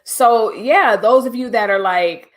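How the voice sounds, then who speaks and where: A woman's voice: on the high side, unhurried. A middle-aged woman hosting a livestream, talking casually and directly to her viewers with preachy asides. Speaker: livestream host.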